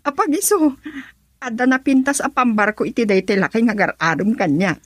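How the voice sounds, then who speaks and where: An actress playing a lively woman friend in an Ilocano radio love drama, with an Ilocano accent and an expressive, husky delivery. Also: a little high, a little fast, dry recording, clean studio sound. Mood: lively, friendly. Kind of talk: Ilocano radio drama acting with narrated inner thoughts.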